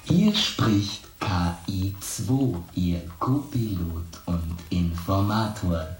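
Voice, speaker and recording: An actor playing a spaceship's computer co-pilot in a radio drama, polite, formal and deliberate. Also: male voice, deep voice, animated, heavily acted. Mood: formal, announcing. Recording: echoey, music underneath.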